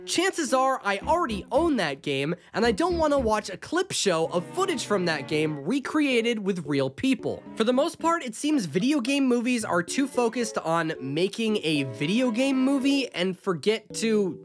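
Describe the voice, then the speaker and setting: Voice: mid-range voice, sing-song, normal speed, few pauses, faint music behind. A narrator delivering a scripted video essay in an opinionated, sarcastic, emphatic way.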